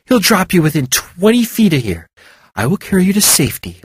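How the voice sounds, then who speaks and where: A majestic female snow leopard speaks with stern, scornful pride and a growl under her words, acted by a storybook narrator. Her voice is husky and swings widely in pitch. The reading sounds dry and close. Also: very animated, a little fast, dry recording. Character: proud female legendary snow leopard, haughty.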